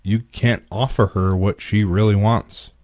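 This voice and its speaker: Woman doing a very low-voiced, stern head nurse. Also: fairly even intonation, a little slow, a little mellow. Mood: matter-of-fact. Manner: formal, procedural.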